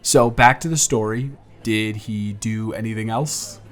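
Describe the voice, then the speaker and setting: A man's voice: fairly low pitch, a little slow, fairly bright tone. Man acting a charming, teasing young blind date. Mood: curious, disbelieving. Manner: bantering, playful, chatty. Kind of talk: romantic audio roleplay acting.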